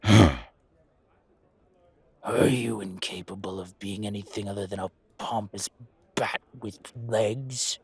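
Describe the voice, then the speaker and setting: A man voicing a gruff, ill-tempered werewolf pack alpha who talks in terse, clipped growls for an audio roleplay. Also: lowish voice, steady tone, slow, long pauses, pitched below the performer's own voice, heavily acted. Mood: contemptuous.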